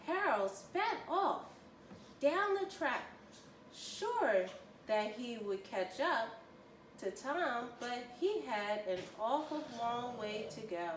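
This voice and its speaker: A woman narrates a children's storybook in a lively, sweeping voice on the high side. She tells it deliberately and expressively. The sound is echoey, picked up from a distance. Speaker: volunteer children's story reader. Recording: roomy, distant mic, faint noise.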